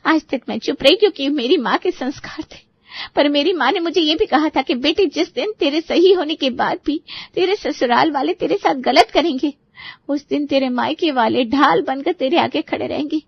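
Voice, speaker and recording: Woman acting a high-voiced, wronged young wife. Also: normal speed, creaky, dry recording, dull audio. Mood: hurt, defiant. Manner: pleading, hurt, protesting. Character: wronged, dutiful 25-year-old daughter-in-law. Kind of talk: dramatized Hindi moral story.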